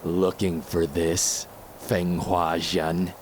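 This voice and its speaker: An actor playing a mocking, theatrical masked villain in an audio drama. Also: male voice, low voice, little pitch movement, very slow, noisy background. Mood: smug taunting. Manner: mocking, theatrical, laughing at foes. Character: masked male villain thief, taunting and swaggering.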